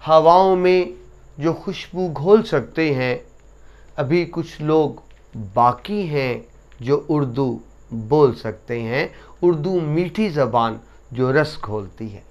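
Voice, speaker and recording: A man giving a lesson to students, explaining essay writing and reciting couplets slowly with emphasis. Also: on the high side, highs cut off. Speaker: teacher.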